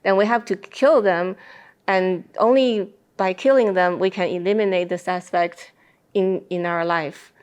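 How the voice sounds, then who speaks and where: A woman speaking as an interview guest in a thoughtful discussion, explaining her ideas with deliberate phrasing. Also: lowish voice, steady tone, a little mellow, clear, resonant tone, dull audio.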